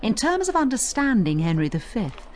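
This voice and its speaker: A woman delivers scripted educational narration with a very animated, varied pitch. She explains points emphatically, as a tutor speaking straight to the listener. There is faint noise behind her. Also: moderate pace. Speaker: narrator and tutor of an audio study guide.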